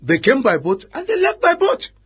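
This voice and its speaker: An actor playing an exasperated, authoritative police officer in a radio drama, with a Nigerian accent and a husky, emphatic delivery. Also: male voice, very high voice, huge pitch swings, normal speed, a bit bright, heavily acted. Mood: insistent. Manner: emphatic, commanding, argumentative.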